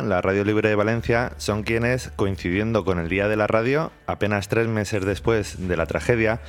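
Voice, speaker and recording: A man with an accent from Spain hosting a radio show, introducing and interviewing conversationally. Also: lowish voice. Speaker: radio host. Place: radio studio.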